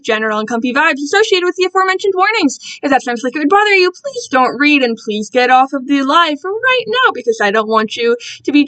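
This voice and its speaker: A young woman chatting casually on a livestream in a rambling, animated way, often interrupting herself. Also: sits high. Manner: animated, self-interrupting, quotes herself and others dramatically. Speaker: livestream host and amateur fan fiction reader.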